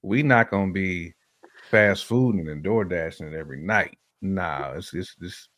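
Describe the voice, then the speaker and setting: A man's voice: low-pitched, a little slow, fairly bright tone. A man co-hosting a relationship podcast, chatting casually and colloquially with opinionated, slangy phrasing and repeats for emphasis.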